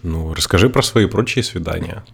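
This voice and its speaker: A man's voice: low voice, normal speed, creaky, breathy edge. A man co-hosting a casual podcast, teasing his co-host with dry quips.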